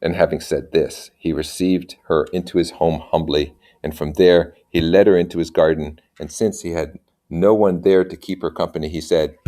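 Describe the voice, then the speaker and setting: A man's voice: deep voice, steady tone, moderate pace, mellow. A man reading a story aloud and breaking off for informal, questioning asides as commentator. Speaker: reader and commentator.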